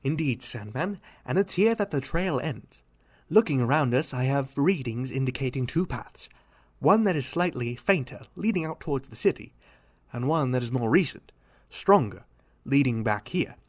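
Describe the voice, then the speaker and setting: A man voicing a calm, analytical male masked detective who lays out clues in a measured way. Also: medium pitch, lightly acted. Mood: grave.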